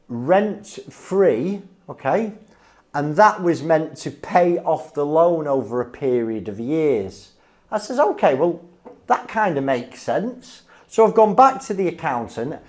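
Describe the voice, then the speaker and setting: A man's voice: on the high side, a little mellow. A Northern English man telling business anecdotes informally, blunt and emphatic, often checking in with "okay?" or "right?". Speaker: insolvency adviser.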